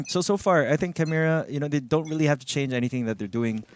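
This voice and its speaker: A man with a Filipino accent giving live esports analysis in measured, hesitant short phrases. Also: fairly high pitch, a little hiss or hum. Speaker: esports shoutcaster.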